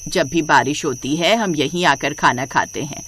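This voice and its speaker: Casting: a woman playing an older, breezy matriarch, low in pitch, bossy and admonishing, for a cartoon family tale. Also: moderate pace, fairly bright tone, a little hiss or hum. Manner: matronly, admonishing. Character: older village mother and mother-in-law, breezy, bossy. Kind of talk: animated Hindi storybook dialogue.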